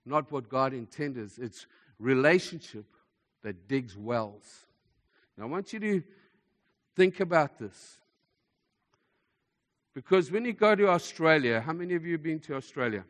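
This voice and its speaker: A man's voice: on the high side, lively intonation, unhurried, pauses often. A man preaching a sermon in an emphatic manner, repeating phrases for effect as a preacher to a congregation. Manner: emphatic, repeats phrases for effect, swings between hushed and forceful delivery. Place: large hall, speaking to a congregation. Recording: echoey hall with long reverb.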